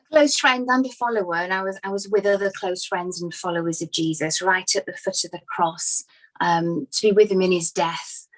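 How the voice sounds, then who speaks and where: A woman's voice: lowish voice, steady tone, moderate pace, lightly acted, poor audio. A woman playing a devoted, grieving female follower giving hesitant, conversational testimony in a dramatized inquest. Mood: earnest, devoted.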